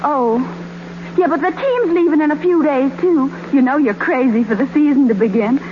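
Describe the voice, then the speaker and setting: An actress playing a sweet, coy young woman gently coaxing her shy sweetheart in a 1930s radio romantic comedy. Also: sits high, expressive, normal speed. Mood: fond, coaxing. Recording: noisy, muffled 1930s radio broadcast.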